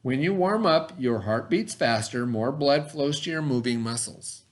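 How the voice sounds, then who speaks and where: A middle-aged man reading a lesson aloud in an instructional way, pausing to explain and repeat key terms. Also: medium pitch, animated. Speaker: teacher reading aloud to children.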